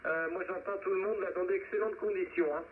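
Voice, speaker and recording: Narrow radio-band sound, man, fairly high voice, ham net host, methodical, chummy. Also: fairly even intonation, brisk, a little hiss or hum. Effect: two-way amateur radio transmission. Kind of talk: ham radio round-table chat. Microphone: handheld radio microphone.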